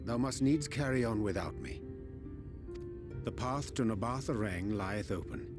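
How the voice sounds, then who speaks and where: An actor playing a courteous, scholarly companion in a fantasy game, speaking formally in archaic thee-and-thou English. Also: male voice, fairly low pitch, unhurried, over music, close to an everyday voice. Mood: composed, courteous. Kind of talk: scripted fantasy game dialogue.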